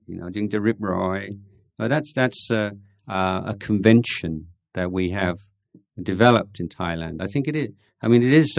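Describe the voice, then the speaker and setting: A man, a Buddhist monk, answering audience questions in an informal talk, hesitant with many pauses and restarts. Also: fairly low pitch, creaky, dry recording, studio quality.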